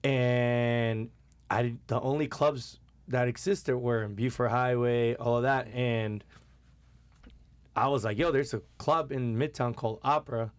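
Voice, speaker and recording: Puerto Rican man, podcast guest, casual storyteller, smooth tone, dry mic. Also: medium pitch, a little slow, no echo. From Puerto Rico. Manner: relaxed storytelling, many fillers.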